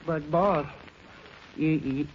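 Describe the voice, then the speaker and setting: Timid, sly Southern US chauffeur, deferential drawl, 1930s radio comedy, muffled recording with clear hiss. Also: male voice, on the high side, slow pace. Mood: reluctant. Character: timid, reluctant, sly servant chauffeur, Silly.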